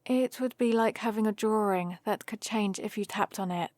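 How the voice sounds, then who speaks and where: A woman's voice: medium pitch, moderate pace, a little mellow, no room sound, natural voice. A woman playing a friendly, teasing human heroine in an ASMR roleplay, explaining things casually and patiently to the listener. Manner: casual, playful, explanatory rambling. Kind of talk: ASMR roleplay, one-sided conversation with the listener.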